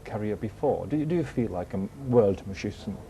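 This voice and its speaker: A man's voice: lowish voice, animated, brisk, gravelly, mellow, a little hiss or hum. A man interviewing a guest on a TV breakfast show, his questions hesitant and stumbling.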